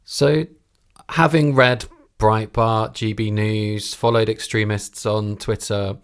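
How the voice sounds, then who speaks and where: A man with a fairly low voice works as a podcast interviewer. He speaks conversationally, offering comments and questions to his guest. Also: steady tone, takes it slowly, clean tone.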